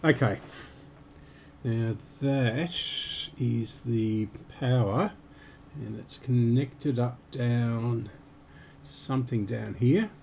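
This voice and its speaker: A man giving unscripted, halting commentary as host of a repair stream, muttering to himself while he works. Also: medium pitch, gravelly, warm tone, a little hiss or hum.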